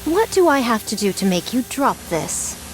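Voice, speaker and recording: A woman's voice: fairly high pitch, big pitch swings, normal speed, slight background noise. A woman voice-acting a proud, grave swordswoman in a video game, speaking in formal, archaic phrases. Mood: stoic.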